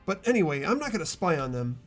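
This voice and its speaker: Casting: a performer as everyman teen boy hero, medium pitch, animated, earnest and a little hesitant, game narration. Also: fast, faint music behind. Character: earnest, hesitant ordinary teenage boy narrating his ordeal. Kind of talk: fan-dubbed visual novel narration and dialogue.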